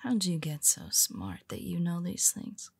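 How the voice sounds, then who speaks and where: A woman voicing a young female superhero in an audio roleplay, speaking hesitantly in a husky half-whisper with many restarts. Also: lowish voice, big pitch swings, measured pace, darkish tone, no echo, heavily acted. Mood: tender, confessional.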